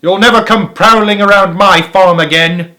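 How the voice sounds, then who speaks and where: A man reading aloud and voicing a fat, stubborn male farmer who yells in fury. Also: high-pitched, steady tone, normal speed, voice pushed up. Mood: furious.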